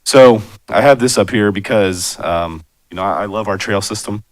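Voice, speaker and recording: A man with a raspy voice, hoarse from a cold, presenting a plan to a city commission in a loose, conversational way with lots of fillers. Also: lowish voice, normal speed, no room sound. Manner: conversational, many fillers and asides. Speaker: bicycle and pedestrian planner presenting. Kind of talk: public meeting presentation.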